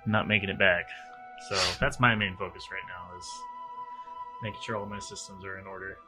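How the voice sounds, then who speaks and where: A man's voice: low-pitched, little pitch movement, a little fast. A man playing a tabletop role-playing game, speaking for his character and casually narrating its actions. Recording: music playing under the voice. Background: background music.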